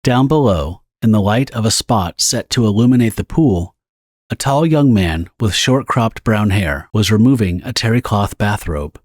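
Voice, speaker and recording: A man reading scripted, dramatic story narration for an audio drama in a husky voice. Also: fairly low pitch, animated, normal speed, darkish tone, dry recording, clean studio sound.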